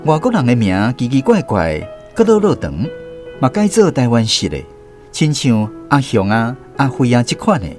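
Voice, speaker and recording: Man acting a reminiscing Taiwanese storyteller with lively intonation. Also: medium pitch, normal speed, faint music behind, close to an everyday voice. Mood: explanatory. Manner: reflective storytelling, explains as he goes. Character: man recalling his boyhood as a cinema narrator's apprentice. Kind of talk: scripted first-person radio drama narration in Taiwanese.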